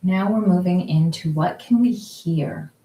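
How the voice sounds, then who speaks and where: A woman slowly and calmly leading a guided mindfulness exercise from her kitchen at home, with many pauses. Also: lowish voice, roomy sound, poor audio. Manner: slow, soft, calm guiding with frequent pauses. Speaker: mindfulness group leader. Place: kitchen or dining room at home.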